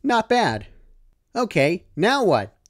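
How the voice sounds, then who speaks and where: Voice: medium pitch, huge pitch swings, takes it slowly, voice pushed up. A narrator voicing a brash young female pegasus who talks in a casual, slangy, offhand way.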